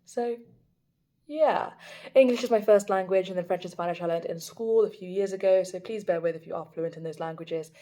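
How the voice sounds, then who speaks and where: A young woman reading scripture aloud at a steady, even pace for her daily Bible video, speaking into a phone. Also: on the low side, a little fast.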